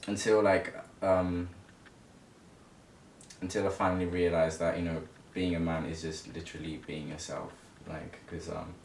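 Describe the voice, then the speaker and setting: A man in his early twenties from Zimbabwe speaks in a low, fairly monotone voice. He tells his story informally, pausing and feeling for words as he goes. The recording is echoey and distant, with rumbling noise behind him. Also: vocal fry. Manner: hesitant, halting, informal. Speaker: interview guest. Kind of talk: casual personal storytelling.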